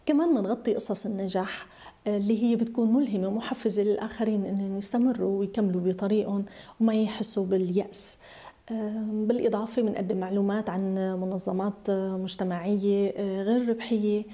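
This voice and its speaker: A woman's voice: mid-range voice, steady tone, rapid-fire, mellow. A middle-aged woman journalist with a Syrian Arabic accent, speaking in a documentary interview.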